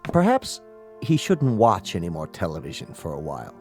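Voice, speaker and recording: An actor in a radio drama playing a gravely polite family doctor who speaks in a deliberate, professorial way. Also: male voice, sits low, wildly swooping pitch, soft background music, exaggerated voice. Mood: grave, explanatory.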